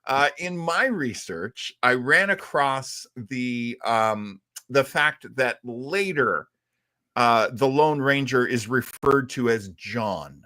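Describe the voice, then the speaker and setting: A man's voice: medium pitch, lively intonation, slow, bright, forceful tone. A man hosting a casual chat about old-time radio, an enthusiastic and chatty explainer. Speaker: host.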